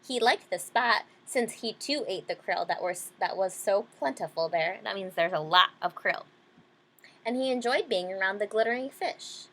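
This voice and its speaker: A woman's voice: medium pitch, animated, moderate pace, sharp tone, low-quality recording. A woman reading a children's storybook aloud, adding simple explanations for young listeners. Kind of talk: children's picture-book reading with simple asides.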